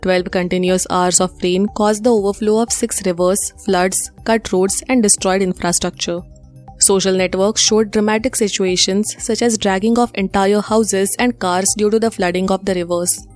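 A woman's voice: mid-range voice, steady tone, normal speed, a little mellow. Indian-accented woman reading news over music. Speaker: news presenter.

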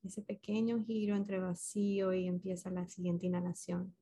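A woman leading a guided meditation as a facilitator, speaking gently and unhurriedly with pauses between short instructions. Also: on the low side, monotone.

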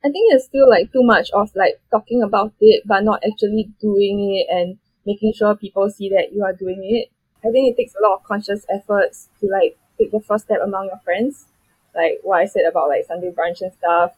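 A woman's voice: mid-range voice, steady tone, moderate pace, darkish tone, slightly rough, no room sound, rough recording. A young Singaporean woman speaking as a guest in a casual panel chat, rambling with many fillers. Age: young adult.

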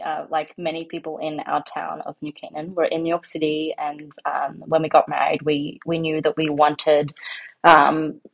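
A woman's voice: sits low, dull audio. An Australian woman in her thirties, talking casually as an interview guest, rambling with many ums and restarts. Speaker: guest, home farmer.

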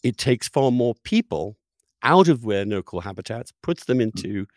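A man's voice: medium pitch, big pitch swings, moderate pace, darkish tone, no room sound, studio quality. A man hosting an interview in a conversational, discursive style. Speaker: podcast host, interviewer.

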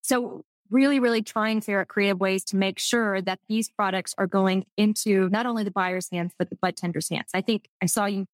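A Texan woman speaking as a guest, thoughtful and earnest as she works through her points. Her pitch is average, and the recording is dry and close-miked. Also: steady tone, fairly quick, hardly any pauses, no room sound. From Texas. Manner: thoughtful, earnest, some restarts. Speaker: guest, food manufacturing executive. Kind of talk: casual interview chat.

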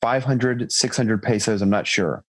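A woman's voice: extremely low pitch, unhurried, dry recording, voice pushed down. A woman voicing a guilt-ridden drunken male priest in a prison cell, grave and confessional, reading a story aloud.

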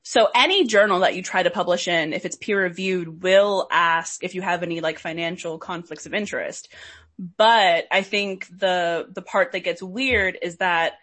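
A young woman, a doctoral student, talking as a podcast guest, lively and laughing often, with many "like"s. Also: lowish voice, moderate pace. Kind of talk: interview conversation.

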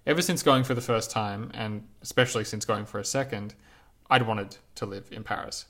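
A man reading his own reflective essay aloud in a measured, lyrical, unhurried way. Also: fairly low pitch, steady tone, fairly bright tone.

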